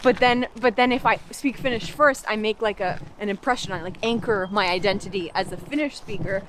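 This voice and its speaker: A woman's voice: mid-range voice, a little fast, noisy background. A young adult woman from Canada, a language coach, talking casually on a walk outdoors, with lots of "like" fillers and restarts. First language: English. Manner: chatty, many "like" fillers and restarts. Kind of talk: casual walking conversation. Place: outdoors in a park.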